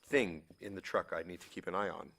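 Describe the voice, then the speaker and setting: A man's voice: sits low, fairly even intonation, fairly quick, creaky, a bit bright, heavily acted. An actor playing an earnest man on the run in an audio drama, persuasive, tentative and questioning. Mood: persuading. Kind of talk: naturalistic audio drama dialogue.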